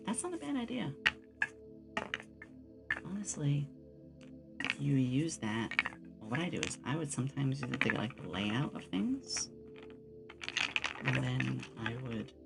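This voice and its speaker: A woman's voice: extremely low pitch, creaky. A woman chatting casually on an ASMR livestream, rambling with playful asides, close on a binaural microphone. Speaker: streamer and game master.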